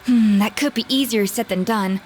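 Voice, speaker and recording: Game NPC: woman voicing a thoughtful, reserved young woman, fairly high, measured and musing. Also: very noisy, close to an everyday voice. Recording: game audio under loud music.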